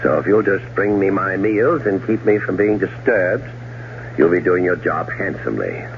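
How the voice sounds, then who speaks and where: An actor playing a domineering, cold-blooded schemer in a radio drama, commanding, deliberate and condescending. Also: male voice, sits low, expressive, gravelly. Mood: brisk, polite. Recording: muffled, noisy old radio recording.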